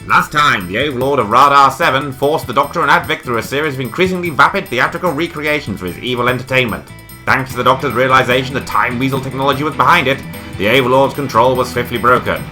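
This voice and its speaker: A man's voice: mid-range voice, lightly acted. A man delivering scripted narration for a comic sci-fi serial like a melodramatic announcer.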